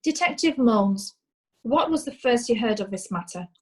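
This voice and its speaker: Brisk courtroom lawyer female actor, comic stage-style drama; formal questioning, slightly raised pitch. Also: expressive. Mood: formal, probing. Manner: formal, inquisitive. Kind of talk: comic courtroom play acting.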